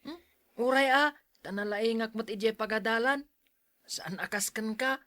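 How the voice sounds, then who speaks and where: Whiny scared little schoolboy for radio drama, bright voice, dry close sound. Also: female voice, a little high, unhurried, no room sound. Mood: uneasy. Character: timid young boy, easily frightened, whiny schoolchild. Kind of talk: Ilocano radio drama acting.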